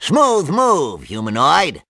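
Nerdy cartoon mad scientist, piercing nasal tone, creaky edge, excitable rambling, video game acting. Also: male voice, on the high side, takes it slowly, no echo, clean studio sound, full character voice. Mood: put out, flustered. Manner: rambling scientific jargon with nonsense exclamations.

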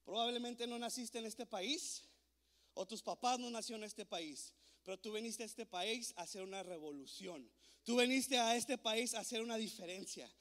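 A man's voice: high-pitched, moderate pace, a bit bright. A man in his thirties from Mexico, raised in Texas, preaching a playful, joking youth sermon on a handheld mic in a large church auditorium. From born in Mexico, raised in Texas. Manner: playful, joking, coaxing the crowd. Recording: echoey hall recording.

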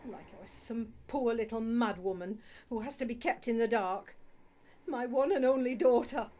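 A woman's voice: a little high, normal speed, mellow, natural voice. A woman playing a bitter, indignant grieving mother in a radio play, speaking accusingly.

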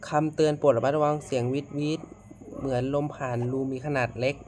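A man hosting a casual reaction video, politely addressing viewers as friends in a halting, repetitive way with frequent exclamations. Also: medium pitch, fairly even intonation, a little mellow. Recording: noisy amateur home recording.

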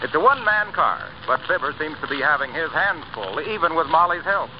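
A man's voice: a little high, animated, fairly quick. A man announcing in the style of a 1930s radio show. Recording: muffled, hissy 1930s radio recording.

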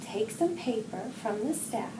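A middle-aged woman reading a children's picture book aloud with expressive, sing-song phrasing. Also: a little high, measured pace. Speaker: children's story reader, hospital executive assistant. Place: indoor room with wall decorations. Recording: roomy echo, distant mic, clear background noise.

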